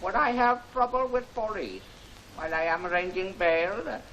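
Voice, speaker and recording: An actor playing a polite, deferential Chinese man, with a put-on Chinese accent and halting broken English, in a 1940s film serial. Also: sits high, pushed and bright. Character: soft-spoken, courteous Chinese man in disguise, deferential. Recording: hissy, noisy old film soundtrack.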